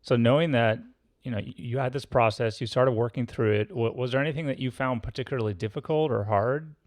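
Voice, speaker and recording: A man hosting a podcast interview, asking questions in an easygoing, somewhat halting way. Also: medium pitch, dry recording. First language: not French. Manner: easygoing, halting, friendly prompts. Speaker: podcast host. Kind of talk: interviewing a guest.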